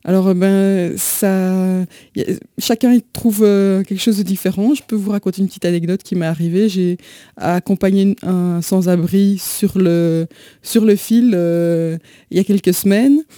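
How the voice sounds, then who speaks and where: A woman's voice: mid-range voice, steady tone, normal speed, mellow, no room sound, studio quality. A woman speaking as an interview guest, explaining and recounting in a hesitant way, often repeating her words. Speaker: interview guest, association volunteer. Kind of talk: personal anecdote and explanation in an interview.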